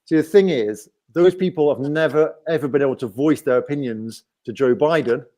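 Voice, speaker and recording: A man's voice: a little high, brisk, dark tone. A man with an English accent hosting a casual live-stream chat, explaining things at length with frequent restarts. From England. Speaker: host.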